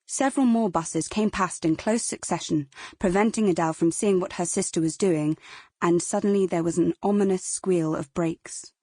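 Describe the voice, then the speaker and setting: A woman's voice: lowish voice, moderate pace, warm tone, dry recording. A woman with a British accent narrating dramatic fiction as a scripted audiobook.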